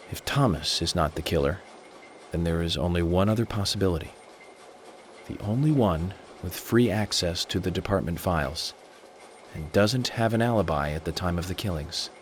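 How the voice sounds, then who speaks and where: An actor with an American accent playing a composed, confident FBI agent in a video game, speaking in a measured, deliberate way. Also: male voice, low-pitched, slight background noise, lightly acted. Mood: calm, certain. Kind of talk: dramatic game cutscene acting.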